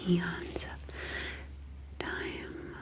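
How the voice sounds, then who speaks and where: Slow, whispering alien scientist played by a woman. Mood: calm, formal. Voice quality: soft, breathy unvoiced whisper. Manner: slow, measured, formal, deliberate phrasing. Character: calm, formal humanoid alien scientist from an undersea colony. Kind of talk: whispered ASMR roleplay.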